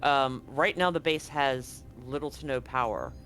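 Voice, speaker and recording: A man serving as game master, laying out a scene for his players with plenty of restarts and asides. His pitch sits in a middle range. Soft music plays behind him. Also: measured pace. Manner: rambling, self-correcting descriptions. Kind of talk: running a tabletop game.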